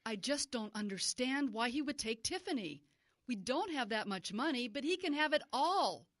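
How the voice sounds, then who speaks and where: High-voiced, anxious, indignant mother. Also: lively intonation, moderate pace, fairly bright tone, dry recording, studio quality. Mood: distressed, demanding. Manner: demanding, distressed. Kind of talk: modern audio drama acting.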